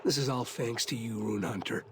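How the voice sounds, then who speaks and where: An actor playing an earnest, courteous soldier in a fantasy video game, speaking formally. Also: male voice, mid-range voice, normal speed, background noise, lightly acted. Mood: grateful. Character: earnest, grateful male soldier of a fantasy guard. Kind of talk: video game cutscene acting. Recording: voice over game music.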